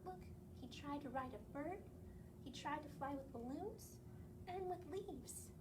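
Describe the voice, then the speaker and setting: High-voiced woman reading to children, distant mic. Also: expressive, measured pace. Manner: addresses young children with questions and encouragement. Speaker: library story time host. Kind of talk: children's read-aloud and singalong. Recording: distant mic, clearly noisy background.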